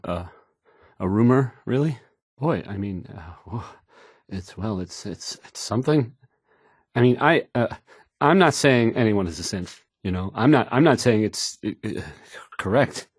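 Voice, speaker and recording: A man's voice: on the low side, lively intonation, a little slow, husky, no room sound, studio quality. A man acting a shy, nervous young radio DJ in a video game, stammering, hesitant and unsure of himself. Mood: flustered, unsure. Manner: stammering, hesitant, self-doubting, trailing off. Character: painfully shy, nervous, awkward male radio DJ.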